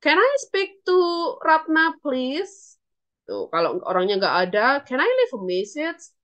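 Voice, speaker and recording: Female Indonesian lecturer, higher-pitched, instructive delivery for an online language class with example phrases. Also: huge pitch swings, a little slow, fairly bright tone, dull audio. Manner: instructive, repeats and translates phrases. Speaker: English lecturer.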